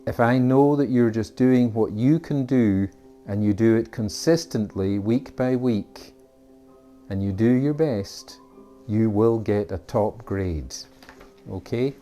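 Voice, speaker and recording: A man's voice: on the low side, takes it slowly, dark tone, muffled recording, faint music behind. A man with a Scottish accent, an art teacher giving a friendly, rambling introduction to his beginners class. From Scotland. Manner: friendly, rambling, with fillers and asides.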